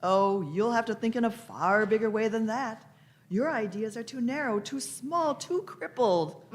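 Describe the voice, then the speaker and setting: A woman's voice: fairly high pitch, a little slow, lightly acted. A woman playing an eccentric, merry old lady time traveller in a radio play, with grand old-fashioned phrasing and repeated words. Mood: amused, lofty. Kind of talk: live radio-play acting.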